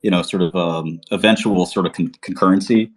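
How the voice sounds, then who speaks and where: A man's voice: low voice, fairly even intonation, fairly quick, husky. A man from Albania talking casually on a livestream, rambling with many fillers and restarts. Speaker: podcast host.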